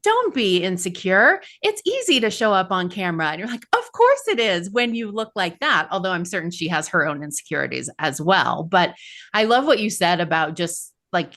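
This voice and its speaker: A woman hosting a podcast interview, chatty and animated as she tells stories. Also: fairly low pitch, moderate pace. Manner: chatty, animated, lively storytelling. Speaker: podcast host. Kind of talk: interview conversation.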